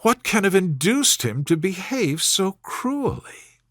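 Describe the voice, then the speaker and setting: A man reads as a spirited young Regency lady in a storybook, her voice lifted high. She is earnest and indignant, with formal, exclamatory phrasing. The sound is close and dry. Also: very animated, slow pace, fairly bright tone, no room sound, exaggerated voice. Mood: troubled disbelief. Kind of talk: storybook character voice in audiobook reading.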